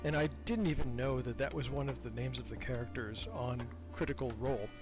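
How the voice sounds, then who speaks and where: A man's voice: medium pitch, a little fast, music in the background. A man being interviewed as a podcast creator, talking casually and reflectively in a self-deprecating, hesitant way, repeating words as he thinks.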